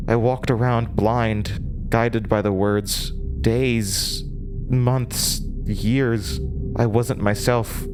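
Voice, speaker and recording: A man playing an unhinged, lonely, obsessive woman possessed by voices, in a halting, wistful horror audio drama monologue. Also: mid-range voice, close to an everyday voice.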